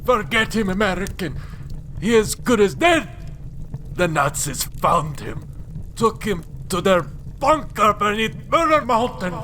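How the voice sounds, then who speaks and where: A woman's voice: medium pitch, sing-song, a little slow, fairly bright tone, a little hiss or hum. A woman playing a frightened villager with a melodramatic manner in an adventure motion comic. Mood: fearful, grim. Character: frightened, dramatic villager of occupied countryside.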